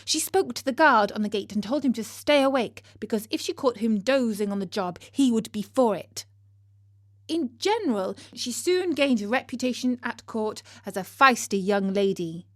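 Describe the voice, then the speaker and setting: A woman storyteller reading scripted narration in a measured, expressive way, as if for children. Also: a little high, no echo, clean studio sound.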